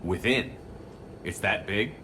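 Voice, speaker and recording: An actor playing a steady, resolute human military commander in measured video game dialogue. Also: male voice, on the low side, slight background noise. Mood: questioning, determined.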